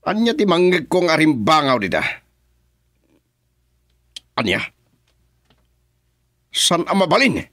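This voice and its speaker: A man's voice: a little high, very animated, slow, pauses often, fairly bright tone, dry recording, clean studio sound, full character voice. An actor playing a bossy, impatient man of power in a radio drama, with an Ilocano Filipino accent. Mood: commanding.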